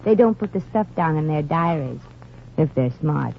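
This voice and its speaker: A woman's voice: sits low, animated, normal speed, creaky. A brassy young American woman trades folksy, slangy wisecracks in a 1940s radio comedy. The old recording is dull and lightly hissy. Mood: wry, chatty. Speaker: lead actress and narrator of a comedy series. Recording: noisy, band-limited old radio recording.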